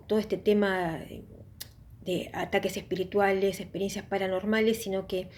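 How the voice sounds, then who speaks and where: A woman's voice: mid-range voice, warm tone. A woman hosting her own online channel, talking earnestly and reflectively to her viewers about faith and spiritual experiences. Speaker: YouTube channel host. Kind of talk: casual religious testimony talk.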